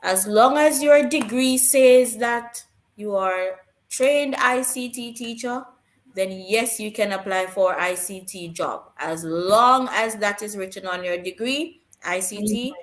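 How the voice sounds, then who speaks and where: A woman's voice: medium pitch, takes it slowly. Patient woman co-host in an echoey room. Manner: explains patiently, repeats key points. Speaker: co-host of a teaching live stream. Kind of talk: live stream Q&A chat.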